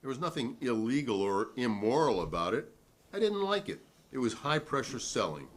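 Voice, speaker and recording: Man playing a defiant, animated American hearing witness. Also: mid-range voice, moderate pace. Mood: matter-of-fact. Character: defiant, principled male witness at a 1950s hearing. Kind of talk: dramatic reading of a hearing transcript.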